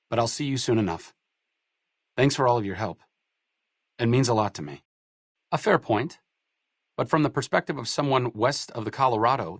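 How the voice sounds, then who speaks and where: An actor playing an idealistic, bookish wasteland doctor in a video game, articulate and wry in scripted dialogue. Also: male voice, mid-range voice, no room sound, studio quality. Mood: earnest, resigned, then indignant, sardonic. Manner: articulate, wry, erudite wording.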